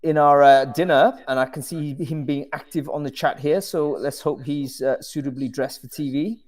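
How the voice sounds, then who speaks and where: A man's voice: a little high, steady tone, normal speed, a little mellow. A man hosting a casual video-call chat, talking in a rambling, self-deprecating way. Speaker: host.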